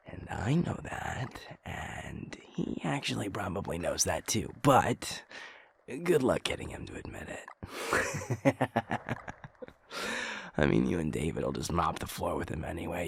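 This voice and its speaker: A man acting a young guy in an intimate audio roleplay, with a low, gravelly, husky voice and casual, sweary, naturalistic delivery. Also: a little hiss or hum, full character voice. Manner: casual, sweary, halting, slangy banter.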